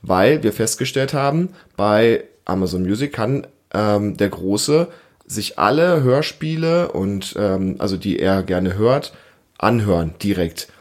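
A man around his thirties or forties hosting a casual family podcast, explaining things and gently prompting with questions. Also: lowish voice, expressive, a little slow. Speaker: podcast host, father interviewing his child.

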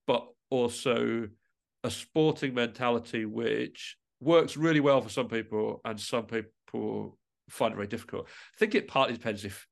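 A man's voice: mid-range voice, creaky. Guy being interviewed about his music work, thoughtful, kinda tentative, stops and rewords stuff as he goes. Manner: reflective, tentative, often pausing mid-thought to rephrase. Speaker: interview guest, musician and composer.

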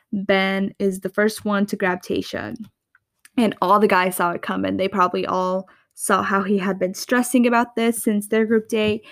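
A woman's voice: mid-range voice, dry recording, studio quality. A woman hosting a casual recap podcast, chatty and gossipy, often laughing between thoughts. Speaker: podcast host.

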